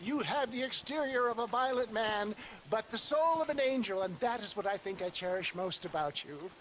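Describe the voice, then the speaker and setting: A man playing a flamboyant older snake-oil salesman in a video game, genially scheming, with grandiloquent, drawn-out phrasing, throat-clearing and chuckles. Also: high voice, animated, fairly bright tone, slight background noise, poor audio. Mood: grandly scheming. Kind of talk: theatrical video game acting.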